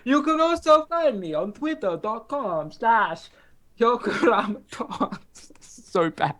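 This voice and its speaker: A woman's voice: on the high side, animated, takes it slowly, fairly bright tone. Joking woman co-hosting a podcast call. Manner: joking, self-mocking. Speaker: podcast co-host. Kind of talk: casual chat.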